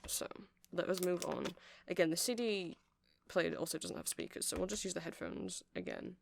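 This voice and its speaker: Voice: mid-range voice, very animated, moderate pace, vocal fry, slight background noise. A performer in an ASMR roleplay playing a nerdy, awkward, friendly male vampire music-shop seller who rambles hesitantly and apologetically to the listener. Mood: chatty, explanatory.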